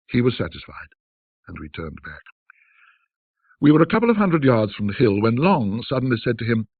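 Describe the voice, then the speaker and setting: A man reading a classic ghost story as a measured, formal, old-fashioned storyteller. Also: mid-range voice, husky. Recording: muffled band-limited audio, roomy echo.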